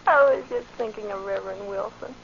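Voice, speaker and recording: An actress playing an adoring young bride in a 1940s radio drama, lilting and teasing. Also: female voice, mid-range voice, fairly quick, vocal fry, fairly bright tone. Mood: happy, teasing. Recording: muffled, noisy old radio recording.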